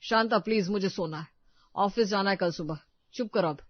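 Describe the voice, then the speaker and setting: A man's voice: sits high, normal speed, no echo. Weary, conflict-shy young husband acted by a man, dismissive, slightly muffled dry recording. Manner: dismissive, placating. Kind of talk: Hindi family drama storytelling.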